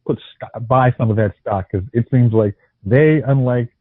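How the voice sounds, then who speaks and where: A man's voice: mid-range voice, moderate pace, dry recording. A man co-hosting a casual movie podcast, starting over often and joking wryly. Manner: stammering restarts, wry jokes. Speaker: podcast co-host. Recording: tinny phone-line audio.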